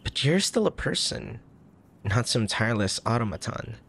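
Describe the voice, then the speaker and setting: A man playing a soft, tender young crown prince in an intimate ASMR roleplay, speaking formally with a gentle, hesitant manner and a troubled air. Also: medium pitch, sing-song, moderate pace, creaky, slight background noise. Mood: earnest, protective. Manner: formal old-fashioned wording, gentle, hesitant.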